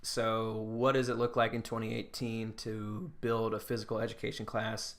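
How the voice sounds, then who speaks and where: A man's voice: lowish voice, monotone, moderate pace, fairly bright tone. A man co-hosting a podcast in casual banter, easygoing and self-deprecating as he talks. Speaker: podcast co-host. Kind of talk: casual banter between friends.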